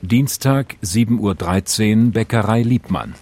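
Low-voiced, terse male crime-drama announcer. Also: noisy background, natural voice. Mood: sober. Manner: clipped, deliberate. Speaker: announcer of times, places and title.

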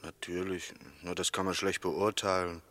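A man acting a mild, careful witness in a 1960s German radio drama, speaking quietly and hesitantly in an echoing hall. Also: deep voice, level tone, moderate pace. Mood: careful, subdued. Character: mild, careful male chess club witness. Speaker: actor in a radio play.

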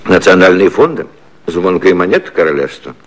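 A man's voice: deep voice, very animated, a little fast, a little hiss or hum. An older man acting an aggrieved, blustering elderly British prime minister in a theatrical 1950s satirical radio play. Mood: rueful. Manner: theatrical, grumbling, hesitating.

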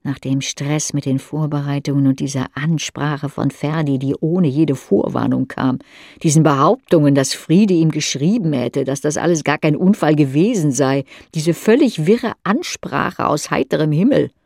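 A woman's voice: low voice, moderate pace, creaky, a little mellow, no echo, studio quality. A woman reading scripted literary narration in the second person for an audio drama.

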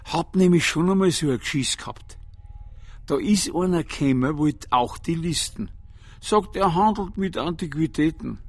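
An actor playing a stuffy, wary older veterans' club official with a Bavarian accent, defensive and folksy. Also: male voice, on the high side, animated, normal speed, breathy edge, no echo, soft background music. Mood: aggrieved.

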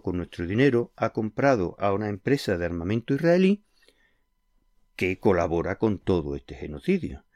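Male radio host, deep-ish and dry, patient explainer who leans on examples. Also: a little mellow, no echo. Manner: earnest, explanatory, uses concrete examples. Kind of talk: conversational radio interview.

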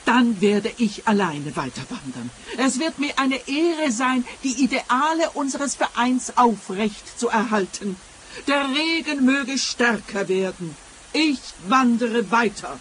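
Earnest, high-voiced hiking enthusiast. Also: female voice, lively intonation, slow pace, slight background noise, poor audio. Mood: defiant, proud. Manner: earnest, prim, high-minded. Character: earnest, cheerful, stubbornly idealistic female hiking club member. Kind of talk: radio play acting.

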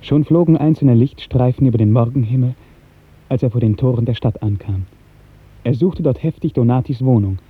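A man narrating a literary 1950s German radio drama in a dramatic, declamatory style with measured diction. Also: mid-range voice, breathy edge. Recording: 1950s radio recording, thin narrow band, music.

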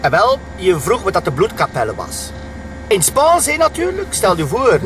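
A man's voice: sits high, huge pitch swings, moderate pace, music in the background. An actor playing a flustered, chatty Flemish policeman in a comic radio drama, rambling in colloquial dialect. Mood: flustered, amused.

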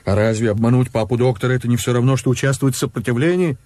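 An actor playing a brash, self-important rogue on the run in a radio drama, jaunty and flattering. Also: male voice, mid-range voice, very fast, lightly acted. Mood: flustered. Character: Major Jones, brash jovial male rogue on the run. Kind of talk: Soviet radio drama acting.

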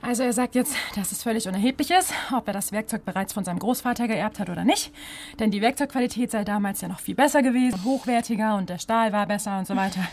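A woman's voice: mid-range voice, darkish tone, a little hiss or hum, close to an everyday voice. An actress playing a chatty young female office intern in a radio drama. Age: young adult. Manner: rambling, gossipy, quick-talking.

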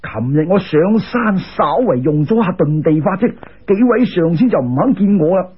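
Anxious disciple in an audio story, played by a man: raspy, higher-set voice, humble and hurried, thin band-limited recording. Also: lively intonation, lo-fi sound, exaggerated voice. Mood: worried. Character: earnest, anxious male disciple seeking immortality.

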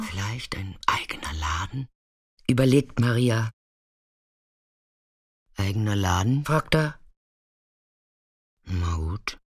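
Slow, gravelly weathered, fearless storyteller. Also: male voice, fairly low pitch, a bit bright, no room sound, studio quality, heavily acted. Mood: quiet, reflective. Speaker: actor in a radio play. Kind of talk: intimate radio play narration and monologue.

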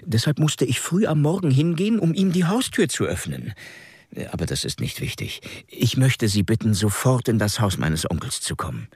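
Agitated young gentleman, voice swinging widely. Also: mid-range voice, big pitch swings, normal speed, breathy edge, no echo, clean studio sound. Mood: urgent, grave. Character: agitated young gentleman, nervously flippant, bringing grim news. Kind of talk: German radio drama acting.

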